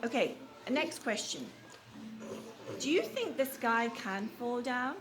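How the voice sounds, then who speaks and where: A woman's voice: on the high side, very animated, slow pace. A woman reading a storybook aloud and questioning young children in a teacherly way, repeating answers back. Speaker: read-aloud storyteller leading a children's class. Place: classroom or school room with children. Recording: noisy live room with background noise.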